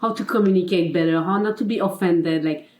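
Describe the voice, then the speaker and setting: A woman's voice: lowish voice, a little fast, a little mellow, roomy sound. A woman from Geneva with a French accent, a confidence and life coach chatting casually as an interview guest, laughing often and filling with "you know" and "like". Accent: French-speaking Swiss. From Geneva, Switzerland.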